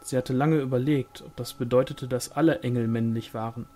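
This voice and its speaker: A man's voice: medium pitch, steady tone, brisk, dark tone. A man reading a novel aloud as scripted audiobook narration. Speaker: audiobook reader.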